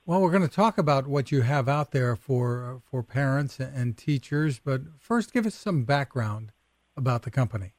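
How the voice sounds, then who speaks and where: A man hosting a tech interview show, asking short, steady questions. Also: mid-range voice, normal speed, no room sound. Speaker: host.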